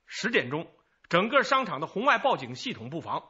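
Husky, high-voiced flustered security guard. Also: male voice, sing-song, fairly quick, pushed and bright, lo-fi sound, heavily acted. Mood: explaining. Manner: defensive, agitated. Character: flustered male department store security guard leader. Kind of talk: Chinese radio crime drama acting.

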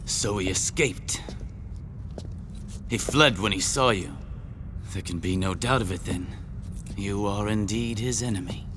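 An actor playing a stern, proud vampire hunter who speaks in commanding, formal, archaic phrases for a video game. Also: male voice, lowish voice, unhurried, pauses often, background noise, lightly acted. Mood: cold, stern. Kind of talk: dramatic video game acting. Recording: music behind the voice. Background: music.

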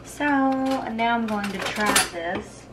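A woman's voice: on the high side, takes it slowly, very noisy. Chatty young woman cooking at home. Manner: chatty, upbeat, talks while cooking. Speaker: cooking vlogger. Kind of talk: casual cooking video. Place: home kitchen, indoors.

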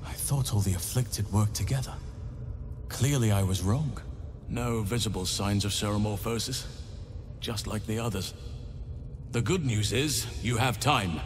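A man playing a grave, wry elf druid warrior in a video game, measured and formal. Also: on the low side, natural voice. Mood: grave, resolute. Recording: reverberant stone room, music behind.

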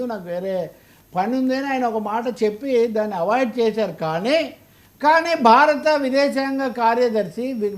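Telugu guy doing political commentary, really high voice, argues hard, lots of rhetorical questions. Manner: emphatic, argumentative, rhetorical questioning.

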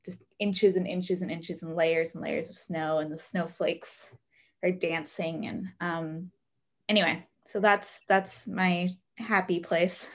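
Low-pitched American woman, gentle and reflective. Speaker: participant in an online women's group. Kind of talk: personal check-in sharing, conversational. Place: indoor room at home, on a video call. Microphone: computer mic on video call.

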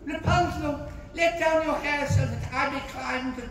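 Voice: high-pitched, steady tone, a little slow. An actor playing an earnest, lovestruck young prince in a melodramatic live cartoon-style script reading. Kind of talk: live cartoon-voice table read. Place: large convention panel room, indoors. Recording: echoey convention hall, distant noisy mic.

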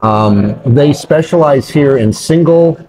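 A man's voice: on the high side, expressive, takes it slowly, a little mellow, poor audio. Older man chatting in a rambling way. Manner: rambling, circles back over phrases. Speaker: co-host of a beer review show. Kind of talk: casual unscripted chat. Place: brick-walled pub, indoors at a table.

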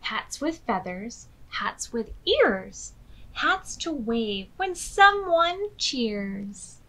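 An American woman in her thirties hosting storytime, reading aloud expressively and clearly to young children. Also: a little high, sing-song, drawn-out words, a bit bright, a little hiss or hum, rough recording. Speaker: children's storytime host at a public library. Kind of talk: read-aloud storytelling for preschoolers. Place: small furnished room indoors.

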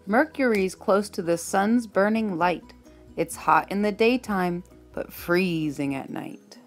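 Unhurried woman reading a storybook. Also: mid-range voice. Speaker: storybook reader. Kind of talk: read-aloud of a rhyming children's book. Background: faint music.

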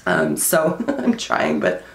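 A woman in her thirties giving a candid, chatty beauty product review at home, her voice going hoarse. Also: lowish voice, huge pitch swings, slow, roomy sound. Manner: chatty and candid, many likes and fillers. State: losing her voice. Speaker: beauty vlogger. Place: small room at home, indoors.

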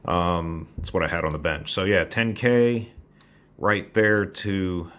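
A man's voice: sits low, steady tone, slow, a bit bright. A man casually walking through an electronics hobby mod at his workbench, in a rambling, self-deprecating way. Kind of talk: casual technical walkthrough. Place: home workbench with computer gear.

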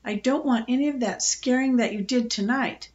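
A woman voicing a no-nonsense woman of a farmhouse in a children's storybook, brisk and stern. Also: fairly high pitch, a little mellow, lo-fi sound, natural voice. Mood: cross, firm. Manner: brisk, stern, matter-of-fact. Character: firm, sensible, no-nonsense woman who keeps a farmhouse.

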